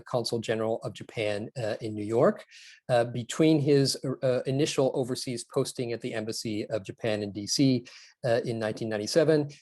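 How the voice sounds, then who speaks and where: A man introducing panel speakers formally, filling pauses with "uh" and falling back on stock phrases. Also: lowish voice, darkish tone, no echo. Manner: frequent "uh" fillers, repeated stock phrases.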